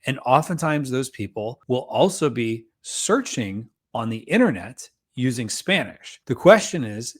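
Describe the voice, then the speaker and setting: Brief: male consultant, relaxed tutorial delivery, medium pitch. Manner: casual, friendly explainer with self-deprecating asides. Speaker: Amazon seller consultant. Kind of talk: screen-share tutorial.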